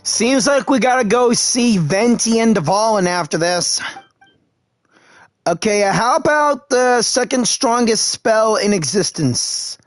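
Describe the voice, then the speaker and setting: A man's voice: sits high, expressive, takes it slowly, no echo. A man doing casual game commentary, reading dialogue choices aloud in a laid-back, informal way. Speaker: gaming video creator playing along.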